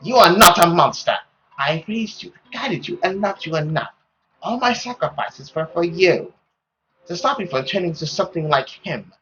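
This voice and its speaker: A man voicing a stern, possessive middle-aged mother in a dramatic, deliberate, scolding manner. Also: a little high, animated, pushed and bright, dull audio, slight background noise. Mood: insistent, protective.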